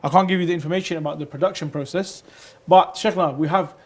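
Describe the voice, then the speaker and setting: A man presenting a live religious Q&A show, reading viewers' messages aloud in a lively way and sometimes tripping over words. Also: a little high, fast. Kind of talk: live call-in Q&A hosting.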